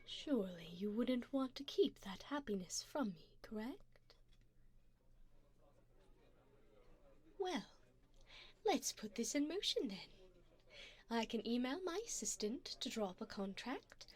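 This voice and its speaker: Casting: actress as rich female vampire for ASMR roleplay; highish voice, lilting and unhurried, breathy, poised, doting with a predatory edge. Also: sing-song, darkish tone, full character voice. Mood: seductive, hungry. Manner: poised, formal, teasing, often breathy and half-whispered. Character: seductive, wealthy vampire woman, doting and predatory. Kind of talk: ASMR roleplay, addressing the listener.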